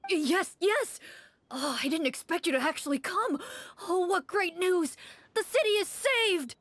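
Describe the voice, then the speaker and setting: An actor voicing an anxious, excitable middle-aged townsman in a game, fretful and gushing. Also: very high-pitched, a little slow, no room sound, heavily acted. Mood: overjoyed. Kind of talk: game character dialogue.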